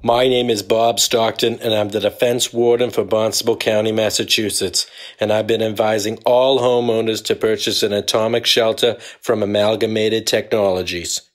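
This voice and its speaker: An actor playing an earnest, measured civil defense warden giving a sales pitch in a fifties-style radio advertisement. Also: male voice, flat delivery, lightly acted.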